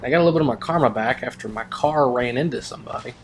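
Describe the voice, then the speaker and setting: A man's voice: mid-range voice, very fast, a little hiss or hum. A man giving casual let's play commentary as a gaming video host, rambling and wry.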